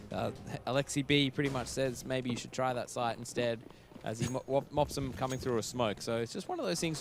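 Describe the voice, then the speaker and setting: A man's voice: medium pitch, a little hiss or hum. A man giving chatty color commentary, hedging his analysis with "I mean" and "look".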